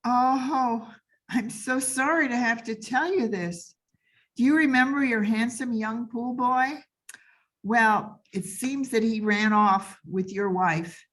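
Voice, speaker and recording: A woman's voice: a little high, slow, a bit bright. A woman playing a folksy, blithely apologetic servant who breaks bad news with hesitant understatement in old-time radio comedy.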